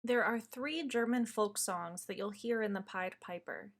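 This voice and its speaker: A woman reading scripted narration in a measured, explanatory way, introducing material for an audience. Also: medium pitch.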